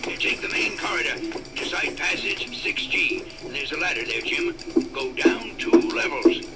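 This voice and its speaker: An actor playing a gruff, commanding older general with a gravelly voice, giving clipped urgent instructions in an audio drama. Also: male voice, medium pitch, a little slow, over loud music, lightly acted.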